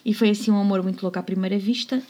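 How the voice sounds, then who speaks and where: A woman with a European Portuguese accent, co-hosting a podcast and telling a history story in a playful, jokey way, slipping into quiet asides. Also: mid-range voice, steady tone, very fast. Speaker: podcast co-host. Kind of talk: casual storytelling about history.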